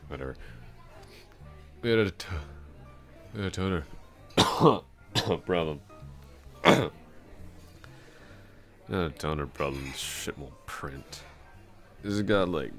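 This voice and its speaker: Male actor as tipsy male office coworker for ASMR roleplay: extremely low voice, slow, rambling, slurred and awkwardly friendly. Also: animated, a bit bright, faint music behind, heavily acted. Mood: drunk, rambling. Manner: slurred, halting, repetitive drunken rambling. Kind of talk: intimate ASMR roleplay, one-sided conversation.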